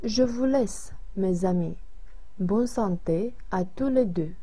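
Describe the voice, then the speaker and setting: A woman voicing Anne, a caring young woman friend, read out slowly and evenly in a language-lesson dialogue. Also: mid-range voice, mellow, slightly rough. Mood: concerned.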